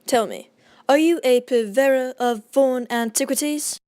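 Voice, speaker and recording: Woman playing a haughty, pompous gentleman explorer. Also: high voice, measured pace, no echo, studio quality. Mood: scornful. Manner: haughty, formal diction. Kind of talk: radio drama acting.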